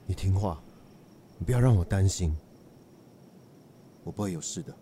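An actor playing a calm, protective man in a radio drama, gently reassuring a loved one. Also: sits low, normal speed, noisy background, natural voice. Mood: tender, reassuring.

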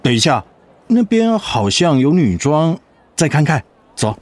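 A lively, coaxing Taiwanese husband. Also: male voice, on the high side, measured pace. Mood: casual. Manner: coaxing, stammering when cornered. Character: devoted, teasing, easily flustered middle-aged husband. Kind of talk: radio drama dialogue.

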